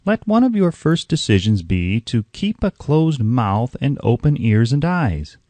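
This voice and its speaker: A man reading an audiobook as a narrator, in a measured, instructive way with deliberate emphasis. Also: medium pitch, very animated, darkish tone, no room sound.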